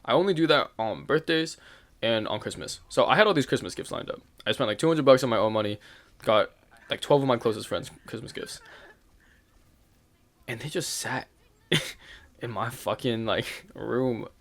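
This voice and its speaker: A man's voice: medium pitch, animated, moderate pace, long pauses, fairly bright tone. A young man hosting his channel, telling a personal story in a candid, rambling way, with fillers, swearing and occasional laughs. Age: young adult. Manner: laughs now and then while talking. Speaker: channel host. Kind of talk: casual storytelling rant.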